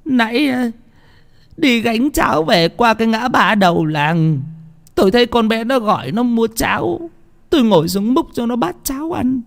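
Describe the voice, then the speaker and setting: A man's voice: very high-pitched, animated, normal speed, creaky, voice pushed up, heavily acted. A man voicing a frightened village woman of sixty, wailing, stammering and pleading in a ghost story. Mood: shaken.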